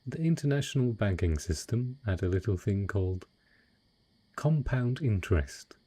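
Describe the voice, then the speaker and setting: A man playing a wealthy, cunning dragon in humanoid disguise, speaking in a refined, eloquent and husky way in a romantic fantasy audio roleplay. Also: lowish voice, animated, mellow. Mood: wistful, suave. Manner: refined, eloquent, unhurried storytelling.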